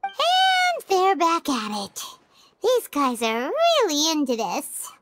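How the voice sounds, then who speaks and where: A woman's voice: wildly swooping pitch, slow, noisy background, exaggerated voice. A woman voicing a tiny, chatty, childlike fairy companion with an exaggerated, chirpy delivery in a game. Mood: weary, exasperated. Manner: squeaky, exaggerated, chirpy, talks in third person.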